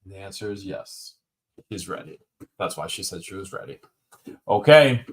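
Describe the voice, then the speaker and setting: A man's voice: low-pitched, animated, moderate pace. Wisecracking man calling an auction. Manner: wisecracking, repeats bids, drawls some phrases. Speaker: live auction host. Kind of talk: live-stream auction patter.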